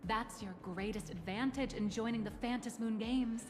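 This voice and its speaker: A woman's voice: a little high, normal speed, background noise, close to an everyday voice. A woman voicing a polished, playful corporate tour guide in a video game, poised, knowing and courteous. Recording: music behind the voice.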